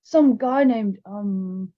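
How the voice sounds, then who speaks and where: A woman's voice: mid-range voice, extremely slow. A woman voicing a big, studious young boy in a storybook, serious and eager to learn. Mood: earnest, curious. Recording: slightly dull, lo-fi sound.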